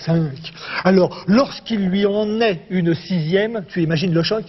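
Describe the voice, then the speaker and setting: A man playing a chatty, playful explainer telling a cartoon's premise, with a husky voice. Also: sits high, measured pace. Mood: playful, amused. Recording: dull lo-fi audio in reverberant hall.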